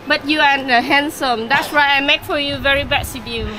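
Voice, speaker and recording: A woman's voice: high voice, fairly even intonation, moderate pace, bright, forceful tone. A woman in her twenties with a Vietnamese accent, selling casually in broken English at a market stall. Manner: broken, non-native English. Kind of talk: casual sales chat. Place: busy covered market stall. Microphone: vlog camera at conversational distance. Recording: noisy market background.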